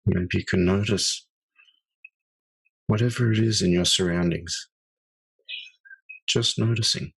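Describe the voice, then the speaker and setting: Husky, low-voiced male meditation guide. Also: steady tone, poor audio. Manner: slow, soft-spoken, with long pauses.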